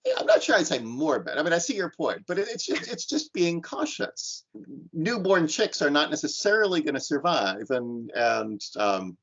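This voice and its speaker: A man's voice: on the high side, sing-song, normal speed, fairly bright tone, highs cut off. A man instructor teaching an online class and leading discussion, talking thoughtfully with frequent restarts and ums.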